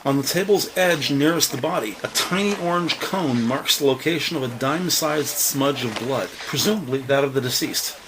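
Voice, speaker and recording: A man's voice: medium pitch, moderate pace, few pauses, noisy background. A man narrating a scripted crime audio drama in the present tense.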